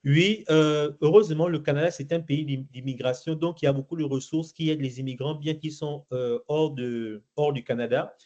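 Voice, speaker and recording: A man's voice: on the high side, fairly even intonation, moderate pace, hardly any pauses, a little mellow. A middle-aged man from Gabon answering interview questions, hesitant, with many fillers and restarts. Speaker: interview guest. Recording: muffled lo-fi recording.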